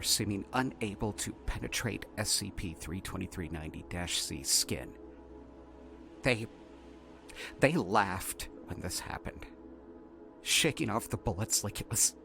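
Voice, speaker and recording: A man's voice: lowish voice, creaky. Man playing a flustered researcher dictating a report. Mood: flustered, incredulous. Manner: halting, flustered, repeating words. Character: shaken, exasperated male researcher dictating an incident report. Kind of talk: audio drama report narration. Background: faint music.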